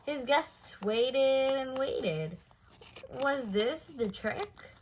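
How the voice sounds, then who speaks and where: A woman reading a children's story aloud in an expressive way, stressing words for effect. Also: high voice, very slow, noisy background. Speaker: storybook reader.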